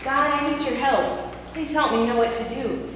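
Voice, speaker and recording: A woman's voice: high-pitched, moderate pace, noisy background, natural voice. A woman playing an overworked housewife in a church skit, talking emotionally and swinging between scolding and pleading. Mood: praying. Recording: echoey hall from far off.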